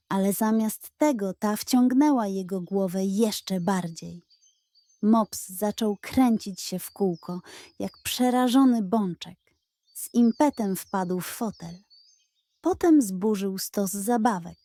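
A woman narrating a bedtime story for children in a gentle, expressive way, close to the mic. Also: medium pitch, no room sound.

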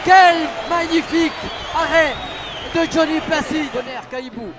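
Male football radio commentator, very high voice, exuberant, over loud stadium crowd. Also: a little slow. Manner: exuberant, passionate, often shouting. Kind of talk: excited live match commentary. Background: noisy football stadium crowd.

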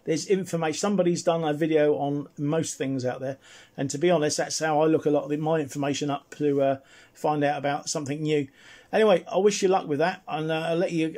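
A man chatting casually and at some length as he demonstrates a CB radio, in a friendly, slightly husky voice. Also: on the high side, a little mellow. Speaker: CB radio reviewer and operator. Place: indoors at a home radio desk.

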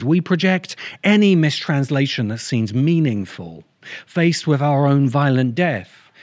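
A middle-aged man narrating a scripted video essay in measured, emphatic, deliberate phrases, close to the microphone. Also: mid-range voice, a little mellow, no echo. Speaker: host of a science fiction video essay channel. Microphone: large microphone close in front of him.

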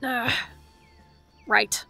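A woman's voice: extremely slow, pushed and bright, faint music behind. A woman playing a prickly, guarded young island captive in an audio drama, curt and challenging. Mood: sullen.

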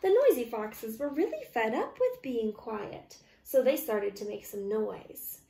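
High-pitched, animated young woman storyteller. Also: gravelly. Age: young adult. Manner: expressive, deliberate storytelling. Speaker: teacher reading a storybook. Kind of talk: animated children's story read-aloud. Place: small living room at home, indoors. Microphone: camera a short distance away. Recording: roomy, echoey, distant microphone.

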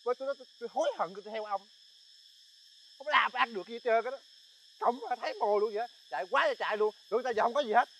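A man's voice: very high voice, fast talker, slight background noise, poor audio. A man playing a whiny bandit sidekick. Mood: grumbling. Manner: flattering, then whiny nagging complaints. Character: fawning, grumbling male bandit sidekick. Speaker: comedy sketch actor. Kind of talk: comic sketch acting.

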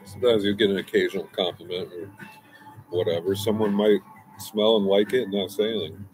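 A man in his forties talks through a loose, rambling review, circling back on himself with asides and restarts. His voice sits low. He is recorded outdoors on a close webcam, with faint music underneath. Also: a little fast, poor audio. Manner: relaxed, meandering, many restarts and asides. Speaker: fragrance reviewer. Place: outdoors by a brick wall, smoking.

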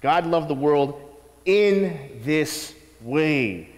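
Man preaching a sermon, bouncy animated pitch, long echo in a big church room. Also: extremely slow. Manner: animated teaching with acted quotes and asides. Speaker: pastor. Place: church sanctuary, large indoor room. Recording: long reverb of a church hall.

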